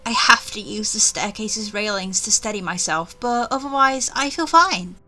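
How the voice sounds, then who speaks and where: A woman reading a visual novel aloud in first person, animated and chatty, with offhand asides. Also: medium pitch, moderate pace.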